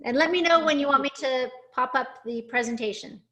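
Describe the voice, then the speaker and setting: A woman's voice: high voice, a little fast, sharp tone. A woman hosting a webinar, introducing her guest in an upbeat, effusive way over a video call. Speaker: webinar host.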